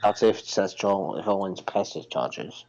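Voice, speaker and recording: A man's voice: deep voice, animated, takes it slowly, darkish tone, highs cut off. A man co-hosting a podcast, chatting casually in a blunt, matter-of-fact manner. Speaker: podcast co-host. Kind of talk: casual wrestling recap chat.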